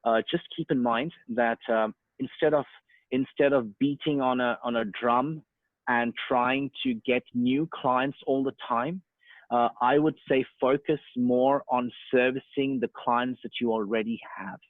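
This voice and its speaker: A man's voice: mid-range voice, fairly even intonation, unhurried, no room sound. A man in his thirties, born in India, speaking with an Australian accent as an interview guest, talking freely with many fillers and restarts. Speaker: interview guest, sales and marketing professional. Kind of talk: casual online interview. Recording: tinny phone-like call audio, dry.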